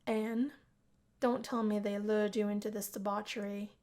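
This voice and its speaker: A woman's voice: mid-range voice, flat delivery, moderate pace, darkish tone, close to an everyday voice. Woman as grumpy young male dorm adviser, visual novel; stern, exasperated, scolding.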